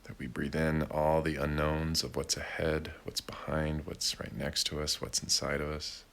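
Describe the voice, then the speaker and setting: A man leading a guided meditation in a gentle, deliberate way, with long pauses between short phrases. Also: extremely low pitch, little pitch movement, gravelly. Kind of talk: guided meditation, slow and unhurried.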